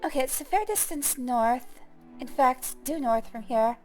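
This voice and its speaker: A young woman with a high voice gives relaxed commentary over a video game. She thinks aloud and pokes fun at herself, and faint game music plays underneath. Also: unhurried. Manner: chatty, self-deprecating, thinks aloud. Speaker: gaming streamer. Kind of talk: casual gameplay commentary.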